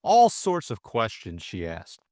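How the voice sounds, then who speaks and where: A man voicing a vague, hesitant young gentleman who is smitten and admiring, in an audiobook. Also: on the low side, wildly swooping pitch, takes it slowly, dry recording, clean studio sound, full character voice.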